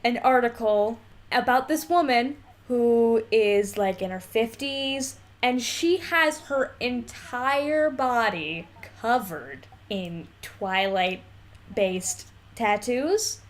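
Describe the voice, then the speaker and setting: A young woman with a somewhat high voice chats as a podcast co-host. She is irreverent and hyperbolic, swears casually and often laughs through her words. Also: slow. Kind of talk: casual, bantering podcast chat.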